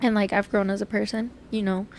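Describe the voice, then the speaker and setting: A young woman co-hosting a podcast in casual chat, talking loudly with a teasing, joking manner. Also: mid-range voice, level tone, fast, noisy background. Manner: loud, teasing, laughs often. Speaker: podcast co-host.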